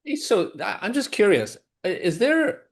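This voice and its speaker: Halting, curious male interview host. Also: high voice, big pitch swings, creaky. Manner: halting, restarts questions with many "like" and "you know". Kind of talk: casual interview chat.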